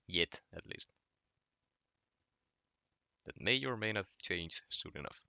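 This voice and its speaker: A man's voice: low-pitched, slow, gravelly, no echo, highs cut off. A young man from Finland with a Finnish accent, chatting casually on a late-night gaming stream while answering his chat. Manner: relaxed, reading chat aloud and answering.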